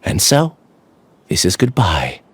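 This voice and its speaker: An actor playing a quiet young male researcher who calmly and earnestly explains why he is joining a hive mind. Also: deep voice, slow pace, a little hiss or hum, exaggerated voice. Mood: resigned. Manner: calm, earnest, explaining himself. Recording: faint game music behind.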